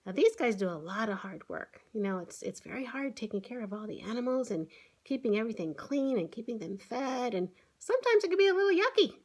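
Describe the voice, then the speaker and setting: A woman's voice: on the high side, big pitch swings, brisk. A woman hosting story time for children, talking through a picture book and often putting simple questions and exclamations to her young listeners. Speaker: children's author and online story-time host.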